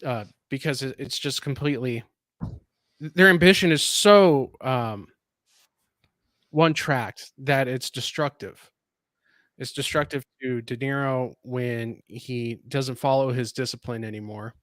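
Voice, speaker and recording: A man who reviews movies, speaking as a guest in thoughtful, measured talk that pauses to find words. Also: medium pitch, fairly bright tone, no room sound, studio quality. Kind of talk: casual discussion.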